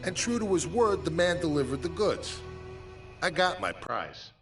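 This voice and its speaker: An actor playing a bitter, disfigured small-time boxer, brooding and streetwise, in a video game cutscene monologue. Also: male voice, fairly high pitch, big pitch swings, moderate pace. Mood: grimly triumphant. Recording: game audio with loud music. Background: loud music.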